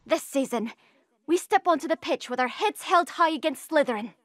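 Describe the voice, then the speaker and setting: A woman's voice: sits high, animated, normal speed, a bit bright, dry recording, soft background music. A woman playing a proud, determined young school athlete in a video game, speaking in declarations. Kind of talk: scripted video game dialogue.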